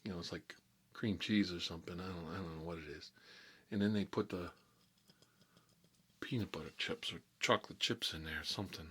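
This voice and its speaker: Man doing a low, gruff, chatty mob boss. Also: a bit bright, full character voice. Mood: chatty, explaining. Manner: rambling, halting, muttering, talking with food in his mouth. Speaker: ASMR role-play performer. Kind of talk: improvised ASMR character role-play, eating while talking.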